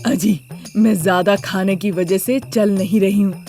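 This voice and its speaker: A woman voicing a scornful, bossy older mother-in-law in a moral story, complaining and sharp-tongued. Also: mid-range voice, fairly even intonation, a little slow, soft background music, close to an everyday voice. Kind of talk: Hindi moral story character voice.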